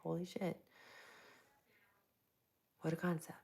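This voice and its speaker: Woman from Tampa hosting a live stream: soft-spoken, low and heavily gravelly, chatting easily with viewers. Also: completely flat delivery, slow. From Tampa, Florida. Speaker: livestream host. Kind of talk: casual live chat answering viewers. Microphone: plugged-in microphone.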